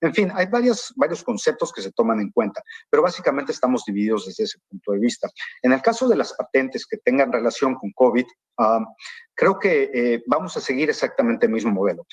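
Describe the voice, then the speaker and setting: Male panelist, husky, hesitant with fillers, dry close sound. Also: medium pitch, very animated, brisk, no room sound. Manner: hesitant, many fillers and repeated words. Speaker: pharmaceutical company panelist. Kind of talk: panel talk over video call.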